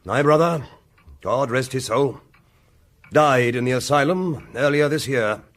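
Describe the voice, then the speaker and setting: An actor playing a haughty, impatient Victorian lord with an upper-class English accent, curt and pompous, in period radio drama. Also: male voice, mid-range voice, a little slow, a bit bright, lightly acted. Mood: cold, defensive.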